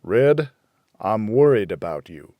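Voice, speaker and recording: A man's voice: lowish voice, very animated, slow, no room sound, highs cut off. Man voicing a blunt, folksy buddy, dry recording. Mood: concerned, firm. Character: blunt, folksy, caring male friend of the loner. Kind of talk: hardboiled audiobook character dialogue.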